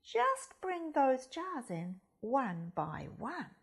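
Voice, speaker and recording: A woman's voice: high-pitched, sing-song, drawn-out words, breathy edge, full character voice. Lady doing a cheeky young boy fox from a picture book, clever and gleeful, voice swoops all over. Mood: feigned delight, sly. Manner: exclamatory, crowing, playful.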